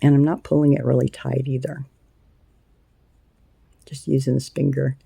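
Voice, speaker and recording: A woman with a scratchy voice hosting a casual crochet tutorial, chatty and unhurried, with plenty of ums and uhs. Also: a bass voice, little pitch movement, gravelly, warm tone, breathy edge, dry recording. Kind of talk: casual hands-on craft tutorial.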